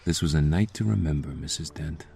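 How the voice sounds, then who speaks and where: A man acts a brash district attorney in an audio drama. He is sardonic and cocky, with a deep voice full of rasp and gravel. Music sits faintly underneath. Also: brisk, warm tone, heavily acted. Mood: tender. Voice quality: gravelly, very husky. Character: brash, sardonic male district attorney, vengeful yet tender husband. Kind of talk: fan audio drama acting.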